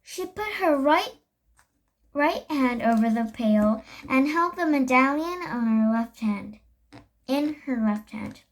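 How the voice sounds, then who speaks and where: A very young-sounding reader with a high voice reads a children's chapter book aloud, stumbling over words and correcting them. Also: animated, slow. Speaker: storybook reader.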